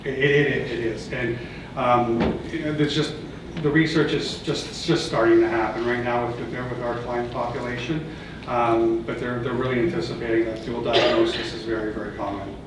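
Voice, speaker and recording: A Canadian man gives a talk in a large conference room, his even, flat delivery full of ums and restarts. He sounds set back from the mic, and the hall's echo and steady noise surround him. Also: medium pitch, moderate pace, recorded from a distance. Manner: hesitant, many fillers and restarts. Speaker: presenter. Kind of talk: conference presentation with audience Q&A. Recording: echoey hall, voice from off-mic, noisy.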